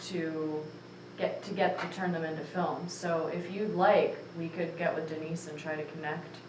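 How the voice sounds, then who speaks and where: A woman's voice: low-pitched, fairly even intonation, normal speed. A woman hosting a meeting Q&A, chatty and friendly with lots of "you know". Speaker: meeting host. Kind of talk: casual Q&A at a meeting. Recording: distant mic in an echoey room with noise.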